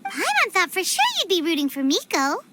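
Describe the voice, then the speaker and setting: Tiny bubbly game sprite, very high and swooping,. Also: female voice, wildly swooping pitch, a little fast, very noisy, exaggerated voice. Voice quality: squeaky, childlike. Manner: bubbly, chatty, third-person self-talk. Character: tiny chirpy female floating companion creature. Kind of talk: game cutscene voice acting. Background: music.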